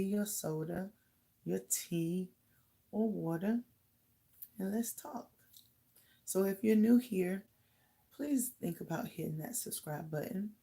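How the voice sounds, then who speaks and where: A middle-aged woman with a slightly raspy voice chatting casually to live viewers as host, halting and pausing as she goes. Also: lowish voice, warm tone. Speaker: host of a livestream talk channel.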